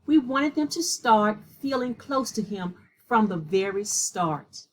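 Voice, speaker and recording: A woman voicing a devout, earnest mother in a storybook, solemn and slightly husky. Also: mid-range voice, lively intonation, slow pace, rough recording.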